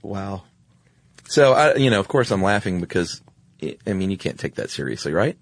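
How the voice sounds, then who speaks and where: A podcast co-host, an American man with a fairly low voice, speaks in an informal, casual way. The sound is close and very dry. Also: sing-song, moderate pace, gravelly, no echo. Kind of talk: casual podcast talk and informal reading aloud.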